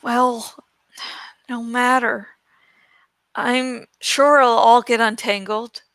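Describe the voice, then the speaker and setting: Woman acting a slow-speaking, motherly village healer. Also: fairly high pitch, flat delivery, a bit bright, dry recording, exaggerated voice, poor audio. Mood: matter-of-fact. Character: rotund older village healer woman, brisk, kindly and scolding. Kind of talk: audiobook storybook reading.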